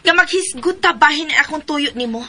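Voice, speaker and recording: A woman's voice: sits high, a little fast, bright, forceful tone. A woman playing a stubborn, insistent woman in a Filipino radio drama, pushy and emphatic, with a Visayan accent. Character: stubborn, insistent woman demanding to come along.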